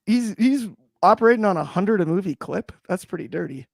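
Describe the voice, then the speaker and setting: A man's voice: sits high, animated, brisk, vocal fry, no room sound, clean studio sound. A man co-hosting a podcast, chatting casually about sport in an emphatic, jokey, slangy way. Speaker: podcast co-host. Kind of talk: animated casual sports chat.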